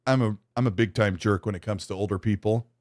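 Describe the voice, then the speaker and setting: A man in his late forties co-hosting a podcast, self-mocking and quick to laugh in casual banter. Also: fairly low pitch, steady tone, a little mellow. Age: 40s. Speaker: podcast co-host.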